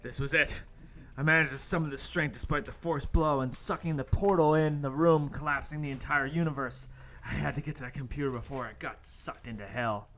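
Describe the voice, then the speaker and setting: Man as a bright-voiced mock-heroic young intern. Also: a little high, fairly even intonation, a little fast, a little hiss or hum. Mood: mock-heroic narrating. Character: excitable young male lab intern hero narrating his adventure. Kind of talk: dramatic first-person narration in amateur comedic radio play.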